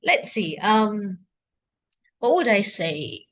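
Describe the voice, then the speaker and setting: A woman's voice: medium pitch, sing-song, slow. A young woman with a Kenyan accent talking casually to her laptop at home, thinking aloud in an unscripted, self-encouraging video diary. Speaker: video diarist. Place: indoors at home, by a couch.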